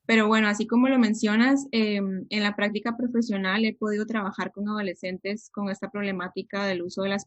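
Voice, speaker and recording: Woman psychologist, measured. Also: mid-range voice, muffled recording. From Peru, raised in Costa Rica. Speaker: psychologist guest. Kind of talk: podcast interview.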